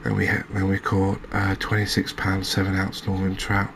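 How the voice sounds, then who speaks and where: A soft-spoken man narrating a gaming recap in a calming, hesitant ASMR style. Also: low-pitched, normal speed, gravelly, slight background noise. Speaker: host of a cozy gaming channel.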